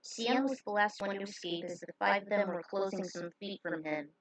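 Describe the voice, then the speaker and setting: A woman narrates a story in an informal way, mixing reading with chatty commentary. Her voice sits at an ordinary pitch. The sound is dry and close, with no room around it. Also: fairly quick, no echo. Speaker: fan fiction narrator. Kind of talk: story narration with casual asides.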